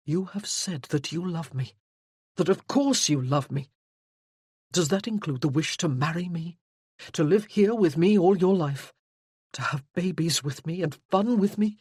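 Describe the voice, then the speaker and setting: A reader voicing a charming, teasing young gentleman from a Regency romance, earnest and insistent in his pleading. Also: on the high side, husky, no echo, clean studio sound.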